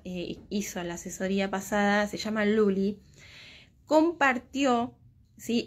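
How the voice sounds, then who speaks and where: Female, 30s, Argentine accent, medium pitch; chatty teacher answering viewers live on a phone at home. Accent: Argentine Spanish. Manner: chatty, reading out and answering comments. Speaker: crochet teacher hosting a livestream. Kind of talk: casual live chat with viewers. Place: small room at home. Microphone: phone at arm's length.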